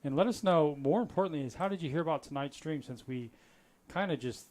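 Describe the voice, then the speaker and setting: A man from Florida doing live poker commentary, relaxed and chatty, with frequent fillers and restarts. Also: a little high, fast talker.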